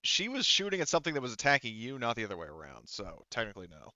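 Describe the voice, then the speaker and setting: A man running a tabletop game as game master, talking casually and piecing his narration together haltingly as he goes. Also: mid-range voice, wildly swooping pitch, bright, forceful tone, no echo, lo-fi sound.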